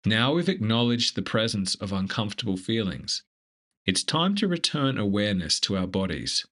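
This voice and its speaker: Low-voiced man guiding a meditation. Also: very animated. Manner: gentle, instructive, measured. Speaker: meditation guide. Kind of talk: scripted guided meditation.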